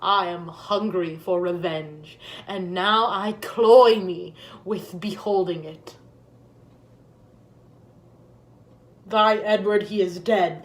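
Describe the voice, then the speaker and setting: A woman's voice: medium pitch, close to an everyday voice. A woman playing a grieving, vengeful deposed queen in a Shakespearean stage monologue, declaiming solemnly and bitterly. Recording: echoey room.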